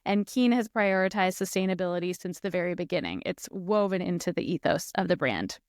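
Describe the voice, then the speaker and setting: Upbeat woman podcast host, smooth voice. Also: lowish voice, expressive, brisk, dry recording, studio quality. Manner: upbeat, enthusiastic questioning. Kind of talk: podcast intro and interview questions.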